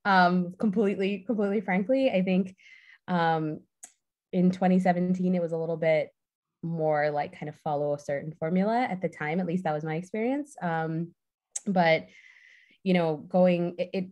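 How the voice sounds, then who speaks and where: A woman's voice: fairly low pitch, fairly even intonation, normal speed, muffled recording. A young woman raised in the US, an author speaking earnestly and a bit rambling on a video call panel. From United States. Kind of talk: casual panel chat.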